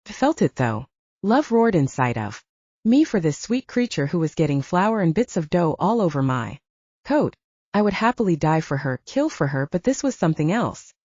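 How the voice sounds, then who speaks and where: A woman's voice: fairly low pitch, big pitch swings, moderate pace, mellow, husky, no room sound, muffled recording. A woman narrating first-person fiction as a scripted audiobook, recorded close in a dry space.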